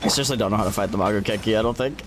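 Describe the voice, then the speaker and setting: A man's voice: fairly low pitch, very fast, heavy background noise. A man livestreaming a video game with casual, sweary commentary and informal gamer slang. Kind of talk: casual live gameplay commentary. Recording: game music behind the voice. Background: video game music.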